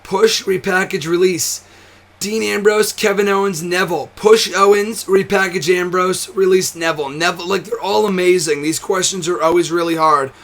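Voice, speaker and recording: A hoarse, tired young man hosting a wrestling show, chatting casually to camera with a nearly gone voice, rambling, swearing and restarting often. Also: sits high, fairly even intonation, moderate pace, a bit bright. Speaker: wrestling commentary host. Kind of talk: casual to-camera vlog chat.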